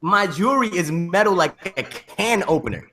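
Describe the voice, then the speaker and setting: Bright, forceful, higher-pitched man joking around. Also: normal speed, slight background noise. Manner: animated, slangy, playful. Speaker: podcast host. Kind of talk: casual joking podcast chat.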